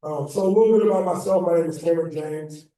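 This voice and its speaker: An American man in his thirties with a slightly raspy voice, giving an informal, halting motivational talk as a guest speaker. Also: fairly high pitch, warm tone. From Illinois, United States. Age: 30s. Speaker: guest speaker, football coach. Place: echoey indoor meeting room. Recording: very echoey room, distant mic.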